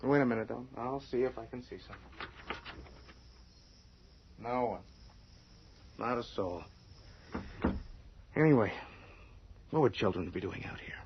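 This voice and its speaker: A practical husband, informal and coaxing with some impatience, at an ordinary male pitch. It is old radio drama acting. The recording is muffled with faint noise. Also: measured pace, creaky, pauses often. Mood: skeptical.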